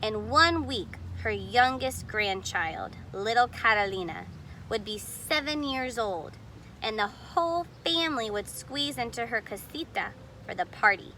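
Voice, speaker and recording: A woman's voice: on the high side, lively intonation, measured pace, pushed and bright, a little hiss or hum, rough recording. A woman reading a picture book aloud expressively, explaining details to young listeners in casual asides. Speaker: storybook reader.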